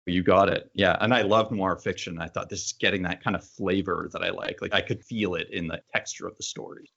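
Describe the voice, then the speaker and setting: A man interviewing an author, asking thoughtful, measured questions. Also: sits low, steady tone, vocal fry, pushed and bright, dull audio. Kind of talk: casual interview conversation.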